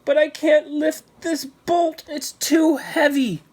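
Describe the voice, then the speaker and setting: Man voicing a high-pitched little storybook girl. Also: level tone, takes it slowly, darkish tone. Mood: frightened. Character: six-year-old girl, boastful but easily frightened, storybook.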